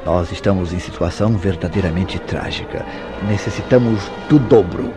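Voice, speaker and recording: A man acting a jealous, tormented lover in a radio soap opera, with theatrical formal diction and dramatic pauses. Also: deep voice, over music, close to an everyday voice. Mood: bitter, intense. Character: Mauro, jealous, tormented lover confessing a crime.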